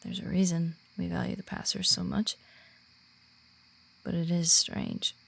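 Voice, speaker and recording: Woman playing a husky, creaky-voiced friendly female werewolf guide. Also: on the low side, flat delivery, unhurried, a bit bright, heavily acted. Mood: confiding, concerned. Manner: reassuring, confiding, explanatory. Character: friendly female werewolf guiding a newcomer around the pack. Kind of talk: roleplay audio drama in ASMR manner.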